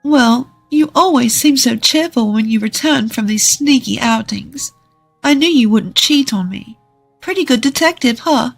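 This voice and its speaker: A storyteller voicing a cheerful, kind young wife, gently teasing and reassuring. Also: mid-range voice, a little slow, gravelly, soft background music, voice pushed up. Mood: playful teasing.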